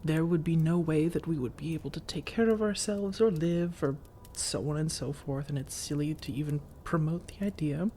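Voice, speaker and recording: A woman playing an elegant, long-lived female vampire in a soft-spoken ASMR roleplay, breathy and often whispering, with measured, formal, persuasive phrasing. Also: sits low, slight background noise, lightly acted. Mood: indignant, composed. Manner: measured, deliberate, formal, coaxing.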